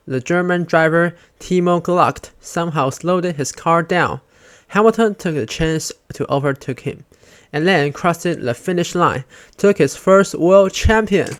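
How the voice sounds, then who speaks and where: A man's voice: fairly high pitch, smooth, dry recording, studio quality. A man reading scripted narration as a fan commentator, with non-native phrasing and grammar slips.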